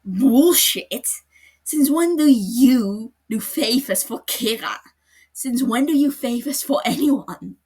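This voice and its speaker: A woman's voice: fairly high pitch, animated, slow, a little mellow, breathy edge, voice pushed up, full character voice. A woman reading a furious, scornful male detective. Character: angry blunt adult male detective. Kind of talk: podfic character reading.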